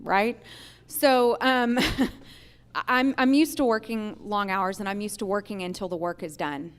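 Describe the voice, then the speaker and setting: A woman with a medium-pitched voice answers questions as a judicial candidate. She speaks earnestly to a public forum, phrasing her points deliberately like someone making a campaign case. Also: clean tone.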